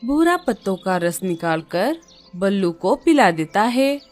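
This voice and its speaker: A woman's voice: medium pitch, sing-song, unhurried, soft background music. A woman reading scripted narration for a children's cartoon story.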